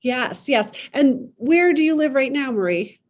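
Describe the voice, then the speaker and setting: A woman's voice: high-pitched, lively intonation, measured pace. A chatty, effusive woman with a Midwestern American accent, hosting a casual podcast interview. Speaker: podcast host. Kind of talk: casual chat. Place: needlepoint shop.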